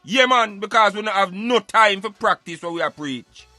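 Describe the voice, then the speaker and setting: A man's voice: high voice, expressive, normal speed, pushed and bright, dry recording, faint music behind. A Jamaican man giving emphatic, opinionated social commentary in Patois, like a street philosopher preaching on a radio show.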